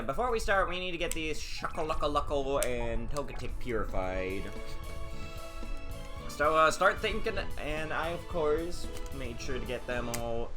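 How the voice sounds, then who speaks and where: Rambling young man streaming a game. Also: a little high. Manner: rambling, chatty, many restarts. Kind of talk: casual gameplay commentary. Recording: game music under the voice. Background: game music.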